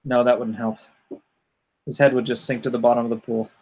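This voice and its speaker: A man's voice: mid-range voice, flat delivery, brisk, darkish tone. A man chatting casually as a livestream host, rambling informally with joking asides. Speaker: comic artist hosting a crowdfunding livestream.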